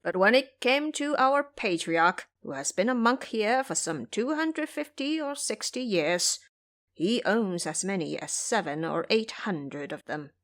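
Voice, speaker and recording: A woman's voice: mid-range voice, lively intonation, a little slow, a bit bright. A woman playing a sneering, boastful male abbot with a haughty, scoffing manner in an audio drama. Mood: scornful.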